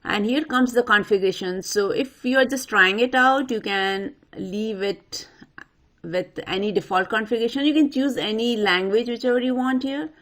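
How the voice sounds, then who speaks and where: A woman presenting an unscripted software tutorial, talking the viewer through each step in an instructional way. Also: fairly high pitch, moderate pace. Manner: instructional, addresses viewer directly. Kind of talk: step-by-step screen walkthrough, unscripted.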